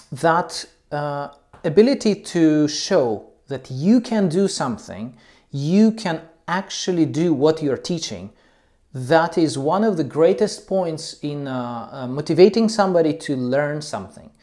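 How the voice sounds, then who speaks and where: A man's voice: a little high, lively intonation, a little slow, dark tone. A man who teaches English, a non-native speaker, talking loosely about teaching with many ums and uhs. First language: not English. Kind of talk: casual talk to camera about teaching.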